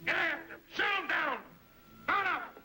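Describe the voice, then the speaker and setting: An actor playing a hot-tempered, insistent captain of Western lawmen in an old-time radio drama. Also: male voice, very high voice, normal speed, music in the background. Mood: shouting alarm. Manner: forceful, indignant, insistent. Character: hot-tempered, vengeful Western lawman captain hunting outlaws.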